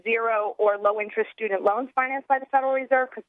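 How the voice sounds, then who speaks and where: A young American woman giving earnest political talk as an activist guest, halting and restarting as she goes. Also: fairly high pitch, steady tone, no echo. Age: young adult. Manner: rambling, halting with false starts. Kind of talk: earnest political talk, partly reading out a platform. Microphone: telephone. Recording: narrow tinny phone line.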